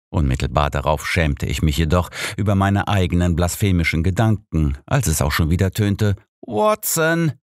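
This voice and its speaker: A man's voice: sits low, a little mellow, no echo, clean studio sound. A man narrating a story in a scripted audiobook read.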